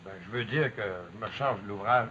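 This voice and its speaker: A man's voice: lowish voice, a little fast, sharp tone. A man playing a humbled, plain-spoken woodsman with a Quebec French accent, terse and hesitant, in 1950s television acting. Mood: sheepish. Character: big humbled woodsman, reformed drinker, pleading father. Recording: muffled, faintly noisy 1950s soundtrack.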